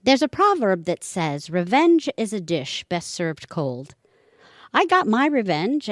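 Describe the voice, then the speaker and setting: Woman playing a wry, unhurried retired wife. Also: medium pitch, no echo, clean studio sound. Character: wry, flirty retired wife who schemes and reflects. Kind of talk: amateur audio comedy drama acting.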